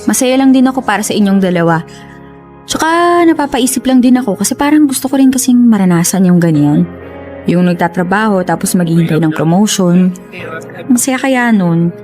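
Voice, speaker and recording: An actress with a Filipino accent playing a frustrated young housewife who longs for independence, in a Tagalog radio drama. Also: female voice, medium pitch, animated, a little fast, a little mellow, natural voice. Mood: downcast, hesitant. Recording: music under the voice.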